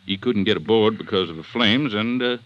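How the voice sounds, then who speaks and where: A man playing an assertive district attorney in an old-time radio detective drama, speaking with deliberate emphasis. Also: lowish voice, highs cut off, close to an everyday voice. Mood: matter-of-fact. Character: assertive Southern district attorney building a murder case. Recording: vintage radio drama recording.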